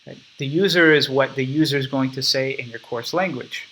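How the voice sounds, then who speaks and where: A man's voice: medium pitch, fairly quick, sharp tone. A young man casually explaining his app step by step in a recorded screen walkthrough at his computer. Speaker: app developer demonstrating his product.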